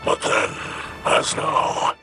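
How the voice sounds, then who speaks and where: An actor playing a wise alien elder, a fatherly advisor giving measured, formal counsel in a video game. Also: over music. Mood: grave, measured. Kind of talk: video game character dialogue.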